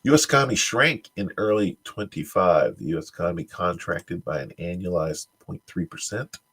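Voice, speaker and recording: A man's voice: low-pitched, moderate pace, fairly bright tone, husky. A man who says he is congested, hosting a stream where he reads tech news aloud and adds dry, wry asides. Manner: dry, wry asides between stumbling read-outs. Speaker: stream host. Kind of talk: reading tech news aloud with offhand commentary.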